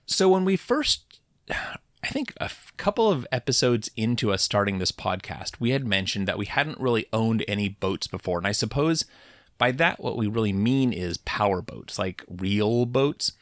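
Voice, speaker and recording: A middle-aged man co-hosting a podcast, chatting casually in a relaxed, rambling way with halting restarts. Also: lowish voice, no room sound, clean studio sound. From Puget Sound, Washington. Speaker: podcast co-host. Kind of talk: casual chat.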